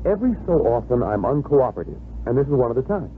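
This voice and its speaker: A man's voice: mid-range voice, big pitch swings, fast, lightly acted. An American man playing a confident, wry, teasing young fiancé in 1950s radio drama style. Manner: wry, bantering. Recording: muffled vintage radio recording, faint hiss.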